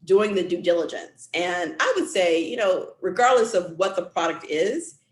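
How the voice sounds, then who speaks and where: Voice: mid-range voice, lively intonation, moderate pace. A trade specialist explains exporting over a video call in an upbeat way, often checking in with "right?". The sound carries a roomy echo. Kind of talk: explanatory chat.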